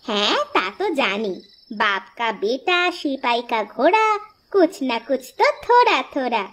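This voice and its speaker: A woman's voice: high voice, big pitch swings, normal speed, fairly bright tone, heavily acted. A woman voicing a caring, teasing village housewife and mother who fusses and argues in a cartoon. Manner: fussing, scolding affectionately. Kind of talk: Bengali cartoon dialogue acting.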